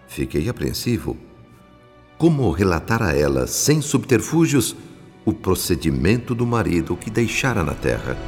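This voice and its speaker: An actor with a Brazilian accent playing an earnest male spirit narrator in a radio drama, speaking in a measured, solemn, instructive way. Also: fairly low pitch, a little mellow. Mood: reflective. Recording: long studio reverb, music underneath.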